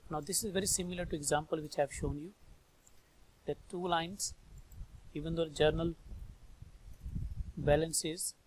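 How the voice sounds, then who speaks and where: A man with an Indian accent and a slightly high-pitched voice works through a software lesson step by step. He thinks aloud in short, informal phrases. Faint noise and clicking sit behind the voice. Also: fairly even intonation, normal speed, pauses often, low-quality recording. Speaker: software trainer. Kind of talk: step-by-step screen tutorial narration. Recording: background noise with mouse clicks.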